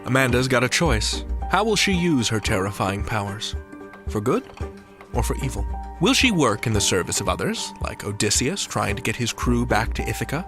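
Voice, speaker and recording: A man hosting a podcast with a creaky voice, presenting between scripted narration and interview talk. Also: mid-range voice, sing-song, normal speed. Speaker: podcast host. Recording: music bed behind.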